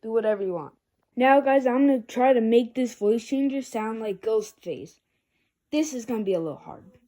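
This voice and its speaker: Mellow, rambling amateur video reviewer. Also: mid-range voice, expressive, normal speed. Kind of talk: casual amateur product review vlog.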